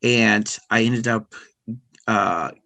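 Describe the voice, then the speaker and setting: Low-voiced middle-aged man, halting. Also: dry recording. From United States. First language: English. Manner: hesitant, many restarts and fillers, laughs softly. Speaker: podcast guest. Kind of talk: casual interview conversation.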